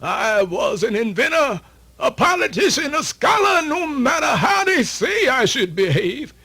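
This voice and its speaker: A man's voice: extremely high pitch, very animated, a little slow, creaky, sharp tone, husky, heavily acted. A man playing an aged, weary yet proud storyteller elder, with a folksy, solemn, oratorical delivery in a radio theatre monologue. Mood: proud, defiant. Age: older. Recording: music playing under the voice.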